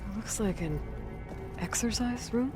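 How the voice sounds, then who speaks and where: An actress playing a wry, practical young heroine in a video game, speaking in a dry, casual, understated way. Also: female voice, on the high side, measured pace, close to an everyday voice. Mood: curious, musing. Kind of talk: video game dialogue acting. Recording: loud music behind the voice.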